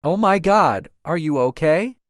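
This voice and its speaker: A man's voice: fairly high pitch, animated, a little slow, dry recording. A man playing a caring, friendly young coffee shop owner in a romance comic dub. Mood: alarmed, scolding. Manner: concerned, friendly. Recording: dry voice over faint music.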